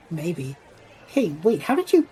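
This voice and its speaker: Woman playing a low, flippant, animated young man. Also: slow pace, slightly rough, exaggerated voice. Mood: casual, amused. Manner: flippant, wry, theatrical. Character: impulsive, chatty extrovert young male traveller, showman. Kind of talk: audio drama acting.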